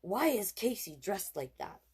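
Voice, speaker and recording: A woman's voice: medium pitch, sing-song, unhurried, a little mellow, slightly rough, pitched above the performer's own voice, exaggerated voice. A woman voicing a bossy, indignant young girl, an older sister in a storybook, protesting emphatically.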